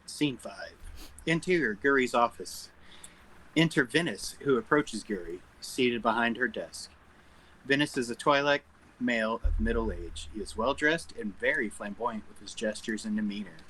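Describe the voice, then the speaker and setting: A man narrating stage directions at a script table read, with a slightly husky voice. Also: medium pitch, lively intonation, normal speed, pushed and bright, poor audio.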